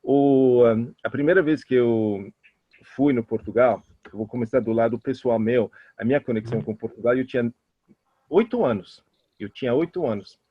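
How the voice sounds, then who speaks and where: A middle-aged man from Italy speaking with an Italian accent as a guest expert, telling personal stories quickly with many hesitations and restarts. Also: mid-range voice, husky, dry recording. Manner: fast, animated talker with many hesitations and restarts. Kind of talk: interview answers, personal storytelling. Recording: muffled lo-fi audio.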